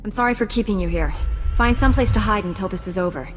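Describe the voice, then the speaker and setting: Actress as a composed, resolute Jedi heroine. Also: female voice, mid-range voice, faint music behind, close to an everyday voice. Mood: composed, grave. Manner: composed, firm, measured. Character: calm, resolute young female Jedi knight heroine. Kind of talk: video game cutscene acting.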